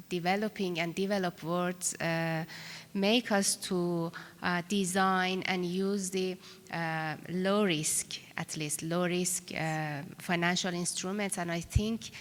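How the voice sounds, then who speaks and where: A woman's voice: lowish voice, steady tone, slow, a little hiss or hum. A woman moderating a conference panel, speaking hesitantly with many fillers. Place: large conference hall. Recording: long conference hall reverb.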